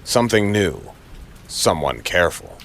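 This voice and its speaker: Deep brooding male noir narrator. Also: sing-song, measured pace, gravelly, background noise. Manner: brooding, dramatic, clipped phrases. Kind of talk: noir audio drama narration.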